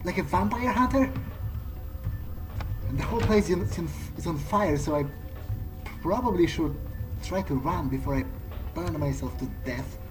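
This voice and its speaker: A man's voice: on the high side, lively intonation, measured pace, pauses often, poor audio. A man improvising in character as he plays a video game, talking hesitantly to himself and swearing freely. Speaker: let's player. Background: game soundtrack music.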